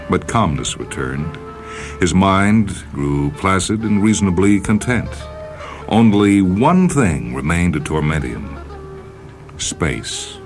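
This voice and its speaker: A man narrates fiction in an extremely deep voice. His delivery is measured and dramatic, the expressive reading of a radio storyteller. Soft music sits behind the voice. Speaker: reader of short stories for a radio program.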